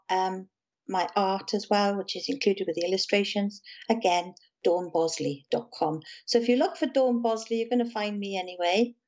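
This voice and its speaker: A woman from South Wales speaks in a soft, gentle South Welsh lilt as an interview guest. She chats calmly and patiently at an even, middling pitch. The recording sounds a little dull and lo-fi. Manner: gentle, calm, chatty with small laughs. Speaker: guest, musician and author. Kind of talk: casual interview chat.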